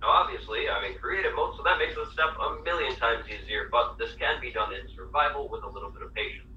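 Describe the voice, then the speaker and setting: Brief: male tutorial narrator, very bright tone, measured and instructive, heard as dull playback from a distance in an echoey room. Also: mid-range voice, animated, slight background noise. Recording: tinny, echoey audio played from far off.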